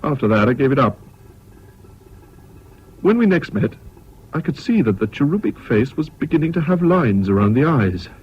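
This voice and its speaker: An actor playing a man looking back on a friend, narrating a radio drama in a measured, literary, reflective manner. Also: mid-range voice, sing-song, slight background noise, lightly acted. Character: reflective male friend narrating his memories. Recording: dull, muffled old radio recording.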